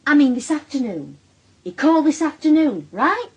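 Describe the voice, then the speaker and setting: A woman's voice: high-pitched, sing-song, moderate pace, darkish tone. A woman playing a defensive, blunt working-class Northern English wife and mother, middle-aged, in a naturalistic radio drama. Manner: colloquial, blunt, wry. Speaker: lead actress and narrator in a radio drama.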